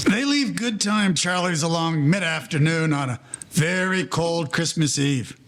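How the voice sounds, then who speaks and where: A man's voice: fairly high pitch, a little slow, background noise. A man playing the wry narrator of a 1940s gangster audio play, telling the story in droll, formal slang.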